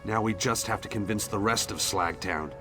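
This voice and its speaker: A man's voice: lowish voice, normal speed, pushed and bright. A man voicing a hopeful, grateful young townsman in video game dialogue, speaking earnestly and with a declamatory turn. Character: hopeful, grateful young townsman defying fate and rallying his people. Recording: background music under the voice.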